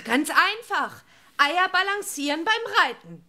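Cheeky young witch girl, high bright voice, perky, confident, radio play. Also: very animated, slow pace, exaggerated voice. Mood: cheeky, keen. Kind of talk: children's audio drama acting.

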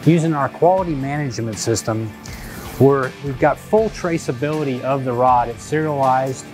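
A man's voice: mid-range voice, normal speed, darkish tone. A man in his fifties, a company director, explaining a product in technical detail with fillers and restarts, in an indoor lab. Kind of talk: corporate product video talk. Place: indoor inspection lab with machines. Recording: faint music behind.

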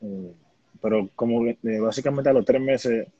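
A young man speaks in casual Dominican Spanish with a husky edge to his voice. He talks like a guest swapping stories in an interview. The sound is dry and a little muffled, as from a phone on a video call. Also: medium pitch, fairly even intonation, normal speed, dark tone, no room sound. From Dominican Republic. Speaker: interview guest, baseball player. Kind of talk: casual conversational storytelling. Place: indoor room at home. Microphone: phone on a live video call.

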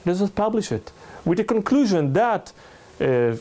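A man in his thirties with an Israeli accent, lecturing in halting but emphatic non-native English. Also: high-pitched, sing-song, warm tone. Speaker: lecturer, NGO researcher. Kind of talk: public lecture with slides. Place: indoor salon room, speaking to a small audience.

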